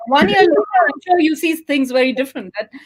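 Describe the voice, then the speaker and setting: A woman talk show host with a high voice that swings up and down in lively fashion. She interviews in a casual, chatty style. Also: quick pace, rough recording.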